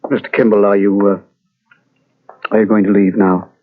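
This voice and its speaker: An actor playing a polite, discreet mortuary attendant with a formal, deferential manner in a 1940s radio drama. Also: male voice, on the low side, level tone, normal speed, natural voice. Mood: solemn, courteous. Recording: thin, muffled old radio recording.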